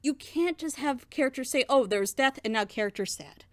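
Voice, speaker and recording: A woman with a high-pitched voice gives a casual, opinionated review. She rambles through her explanations emphatically, leaning on rhetorical questions. The sound is dry, with hardly any room echo. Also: expressive, moderate pace. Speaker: reviewer on a reaction show.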